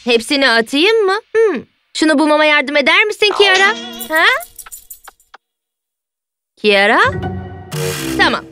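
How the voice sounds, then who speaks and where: A woman voicing an eager, helpful young girl in a children's cartoon dub, youthful and expressive. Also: sits high, measured pace, long pauses. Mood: overwhelmed. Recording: music behind the voice.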